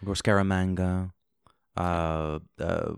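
A man co-hosting a casual book discussion, relaxed, with joking asides. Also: low voice, slow pace, creaky, clean tone, no echo, studio quality. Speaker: podcast co-host.

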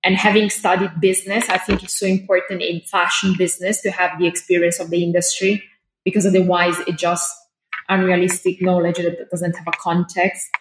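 A woman with an Italian accent speaking as a guest in a podcast interview, chatty and enthusiastic, with frequent filler pauses. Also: on the low side, flat delivery. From Italy. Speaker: guest, fashion business lecturer. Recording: roomy with faint noise.

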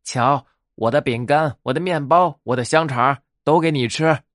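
A man voicing a mischievous young boy mouse in a storybook, wheedling and excited. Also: a little high, moderate pace, dry recording, clean studio sound, lightly acted. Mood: eager bargaining.

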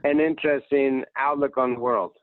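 A man in his sixties, a retired general and guest, telling his life story in a relaxed, plainspoken way. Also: mid-range voice, normal speed, no room sound. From United States. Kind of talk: casual interview talk. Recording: tinny phone line, dry.

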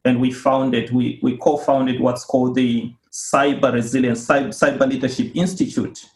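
A man from Zimbabwe, speaking as an interview guest in a mid-pitched voice. He explains things earnestly and at a measured pace, often pausing with a small "uh". The room around him sounds noticeably echoey. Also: flat delivery. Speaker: guest, cybersecurity author and institute co-founder. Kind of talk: podcast interview answers.

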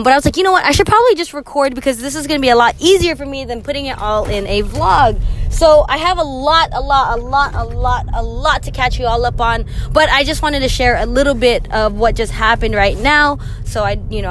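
Young female podcast host, rambling casual chat, high voice, soft car noise under her. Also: a little fast. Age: young adult. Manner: chatty and rambling, laughing, many fillers. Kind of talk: casual solo podcast monologue.

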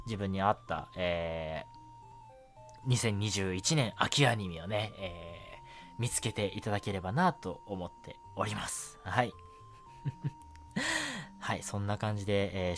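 Low-voiced man, lively casual radio host. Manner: chatty, polite, peppered with filler particles. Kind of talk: casual solo radio talk about anime. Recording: music bed under the voice. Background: background music.

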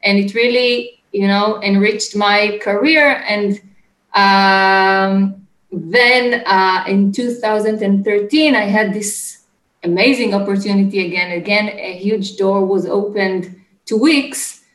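A middle-aged woman with an Israeli accent speaks in a conversational interview style. Her pitch is medium and her phrasing is a little halting. The room around her echoes noticeably. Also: steady tone, fairly bright tone. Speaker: interview guest, startup executive. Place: home office, remote call.

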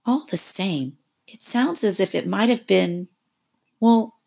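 A woman voicing a loyal, meek little male mole who speaks gently and eagerly in a storybook reading. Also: medium pitch, animated, takes it slowly, creaky, husky, voice pushed up, full character voice.

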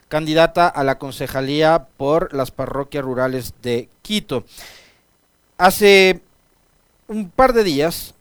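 Smooth-voiced male radio host. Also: on the high side, no echo. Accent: Ecuadorian Spanish. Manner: formal, with filler pauses. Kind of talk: morning radio interview.

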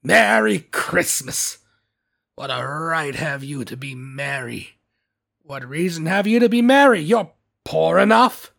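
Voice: medium pitch, expressive, slow, gravelly, breathy edge, full character voice. A narrator voicing a cold, bitter old miser uncle who is scornful and indignant, with a stern growl, in a storybook reading.

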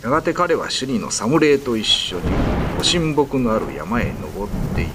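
A man narrating a scripted ghost story in low, grave tones. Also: sing-song, normal speed, noisy background. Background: music.

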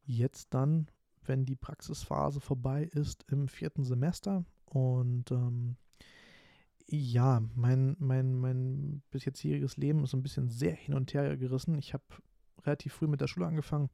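Gravelly, dark-voiced young man rambling. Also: medium pitch, little pitch movement, unhurried, no room sound, studio quality. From Berlin, Germany. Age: 20s. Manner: rambling, many fillers and restarts. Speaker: podcast host. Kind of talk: casual solo podcast talk.

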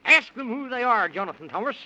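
An extremely high-pitched, fussy old Man in the Moon, kindly and flustered. His delivery swings up and down in folksy exclamations. It is a muffled vintage radio serial recording. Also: expressive, fairly quick, pushed and bright, heavily acted. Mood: dithering. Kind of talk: 1930s radio serial acting.

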